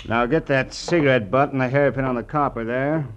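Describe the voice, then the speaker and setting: A man's voice: mid-range voice, brisk, lightly acted. An American man playing a cynical, scheming sleuth in a 1940s radio crime drama, with a needling, insinuating way of talking. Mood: smug, scheming. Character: sly, cynical, scheming male crooked sleuth. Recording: muffled, noisy old radio recording.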